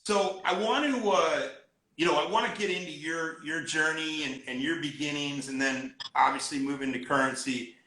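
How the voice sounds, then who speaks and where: A man's voice: a little high, normal speed, bright, forceful tone, echoey room, rough recording. A man hosting a live-streamed interview, steering with short encouraging remarks and guiding questions. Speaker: interview host.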